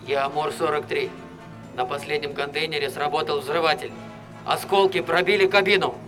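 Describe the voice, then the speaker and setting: A man's voice: a little high, fairly even intonation, moderate pace, natural voice. A man playing a wounded soldier reporting to his commander in a Soviet radio drama. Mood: pained, reporting. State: wounded, as acted. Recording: radio play, loud music behind.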